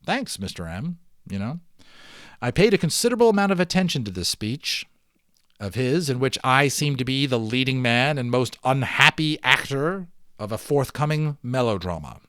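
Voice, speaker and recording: A man's voice: medium pitch, normal speed, no echo, studio quality. Man reading a children's novel aloud. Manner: expressive reading, dropping into chatty offhand commentary. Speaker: amateur audiobook reader. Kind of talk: dramatic read-aloud of a children's novel with casual asides.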